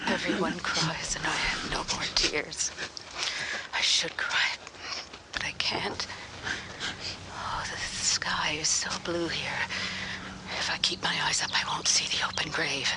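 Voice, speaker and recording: A woman plays an old mourner in a radio horror drama. She murmurs in a low, breathy whisper, musing slowly and sorrowfully, as if worn out by loss. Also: fairly even intonation, rough recording. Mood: numb grief. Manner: slow, mournful inner musing. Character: old grieving woman, weary, lost in memories.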